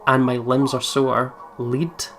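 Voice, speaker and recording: Scottish man with clear background noise. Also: medium pitch, little pitch movement, slow. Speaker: let's play commentator. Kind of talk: casual gaming commentary, reading game text aloud.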